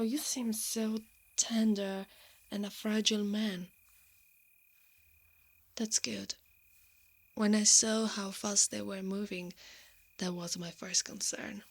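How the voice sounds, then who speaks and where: A woman playing a brave, protective female knight in an intimate ASMR roleplay, softly and often in a breathy whisper. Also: medium pitch. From Italy. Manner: slow, intimate, hushed delivery.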